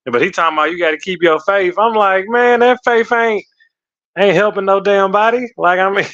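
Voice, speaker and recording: Rambling man, smooth slightly high voice. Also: fairly quick, fairly bright tone, dry recording. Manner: rambling, slangy, swears, many fillers. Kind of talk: casual storytelling. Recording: slightly muffled lo-fi audio.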